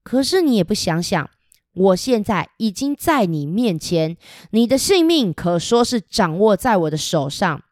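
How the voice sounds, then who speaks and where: A woman voicing a brave young male retainer who speaks forcefully and presses his point. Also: fairly low pitch, no echo, studio quality, lightly acted.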